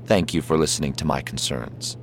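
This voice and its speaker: A man voicing a calm, determined Jedi commander in an audiobook, speaking with controlled, persuasive assurance. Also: a bass voice, fairly quick, slight background noise. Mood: calm resolve.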